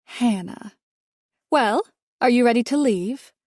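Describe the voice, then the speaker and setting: A woman's voice: a little high, slow, a little mellow, no room sound, clean studio sound, pitched above the performer's own voice. Dolores, a woman putting on a haughty rich mother-in-law. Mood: sweetly condescending. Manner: sugary, condescending, says dear and darling.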